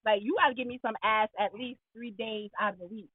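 A woman co-hosting a casual talk show, speaking in a blunt, animated, slangy way with candid banter. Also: a little high, fairly quick, slightly rough, no room sound. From Puerto Rican heritage. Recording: tinny, phone-like band-limited audio.

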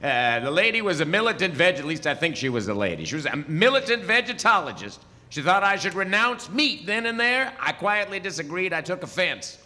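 A man's voice: high voice, sing-song, fairly quick, hardly any pauses, pushed and bright, heavily acted. A man playing a wry, crusty older traveling wizard with folksy cowboy ways in a comic stage show. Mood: reminiscing. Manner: wry, folksy, hesitant asides. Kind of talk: comic audio theatre acting before an audience. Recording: reverberant live theatre hall.

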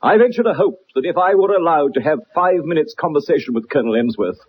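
An actor playing a keen, self-assured gentleman detective with precise, formal speech in a 1960s radio drama. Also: on the high side, animated, fast talker, a little hiss or hum, lightly acted. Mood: firm, composed. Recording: muffled old radio recording.

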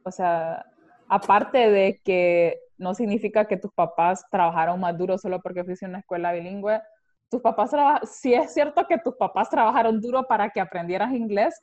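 A young Honduran woman talks in an emphatic, opinionated way, peppering her speech with fillers. Her voice sits at a middle pitch, and the recording is a little dull and lo-fi. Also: normal speed. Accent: Honduran Spanish. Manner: emphatic, opinionated, animated, voseo with lots of "o sea". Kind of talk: casual conversation, podcast-like.

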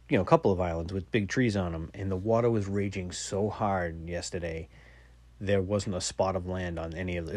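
A man's voice: low-pitched, darkish tone, no room sound. A man in his forties telling rambling, self-mocking stories on his podcast, swearing casually. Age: 40s. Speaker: podcast host. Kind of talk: casual solo storytelling.

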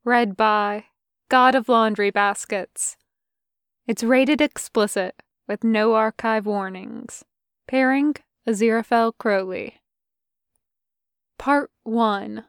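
Woman narrator for a fan audiobook; slow, gentle delivery, fairly high voice. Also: clear, resonant tone, no room sound, clean studio sound. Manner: slow, soft, unhurried reading. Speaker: podfic reader.

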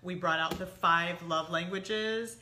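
A woman's voice: on the low side, slow pace, sharp tone, a little hiss or hum. A middle-aged woman co-hosting a conversational relationship advice talk, explaining points and acting out example phrases as she goes. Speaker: co-host giving relationship advice.

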